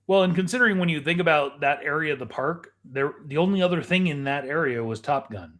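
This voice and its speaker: A man's voice: fairly high pitch, brisk, a bit bright. A man co-hosting a podcast in casual, conversational chat. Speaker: podcast co-host.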